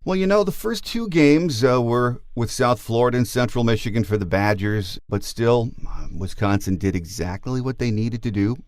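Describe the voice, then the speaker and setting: A man's voice: medium pitch, moderate pace, few pauses, darkish tone, no echo, studio quality. An American man hosting a sports podcast, giving commentary and talking with guests. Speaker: podcast host. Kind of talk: sports podcast monologue and interview.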